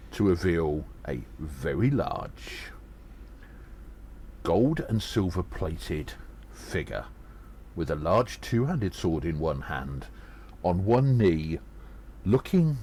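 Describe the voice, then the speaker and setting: A man playing a small, agile adventurer who recounts battles in an audio drama, earnest and dramatic, with halting pauses. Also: low-pitched, dark tone, slight background noise, exaggerated voice. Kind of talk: first-person audio drama narration.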